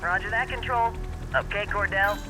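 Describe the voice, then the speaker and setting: Man playing a high-voiced police helicopter crewman. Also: moderate pace, close to an everyday voice. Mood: procedural. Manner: clipped, formal. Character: crisp, procedural male police helicopter crewman. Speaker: police helicopter crew radio operator. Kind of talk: video game radio dialogue.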